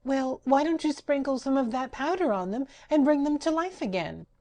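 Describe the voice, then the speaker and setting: A woman's voice: sits high, lively intonation, normal speed, warm tone, voice pushed up. Woman voicing a giddy, boastful patchwork doll. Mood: cheeky. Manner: sing-song rhymes, gleeful and cheeky.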